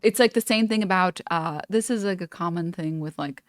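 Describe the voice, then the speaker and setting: Chatty young woman streamer, low and dry. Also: a little fast, dry recording. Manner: chatty and meandering, lots of "like" and "you know". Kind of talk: casual reaction stream commentary.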